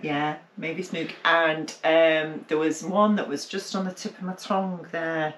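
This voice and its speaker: A woman from England co-hosting a podcast in casual chat, often chiming in with quick agreement. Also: low voice, little pitch movement, fairly bright tone. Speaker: podcast co-host. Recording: roomy echo, faint noise.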